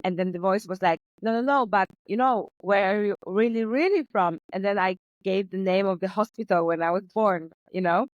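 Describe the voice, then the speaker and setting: A woman's voice: mid-range voice, moderate pace, hardly any pauses, no echo, dull audio. A young woman, talking earnestly as a podcast guest, with many fillers. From Poland. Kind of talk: conversational interview.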